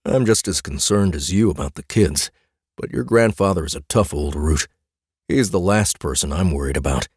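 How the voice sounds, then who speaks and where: Man as mild middle-aged husband, audiobook dialogue, low pitch, hesitant, earnest, befuddled, dry close recording. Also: lively intonation, moderate pace, husky, no echo, full character voice. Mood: reassuring. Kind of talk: dramatic audiobook character dialogue.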